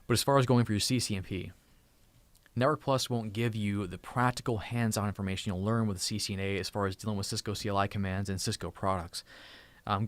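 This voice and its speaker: A man's voice: on the low side, no echo. A man in his thirties hosting a tech channel live stream from home, chatting casually and thankfully with viewers, often restarting and repeating words. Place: home room.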